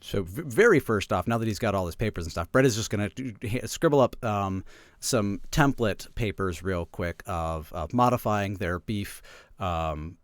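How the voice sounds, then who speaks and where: A man's voice: fairly low pitch, lively intonation, normal speed, no room sound. A man playing a tabletop roleplaying game in character, hesitant and stammering, with fussy, formal, wordy phrasing. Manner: hesitant, stammering, repeats words.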